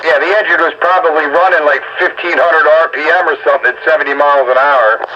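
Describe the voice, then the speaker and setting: A man's voice: fairly high pitch, fairly even intonation, quick pace. A middle-aged man telling anecdotes in a casual group chat over a noisy two-way radio. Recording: noisy narrow-band two-way radio audio.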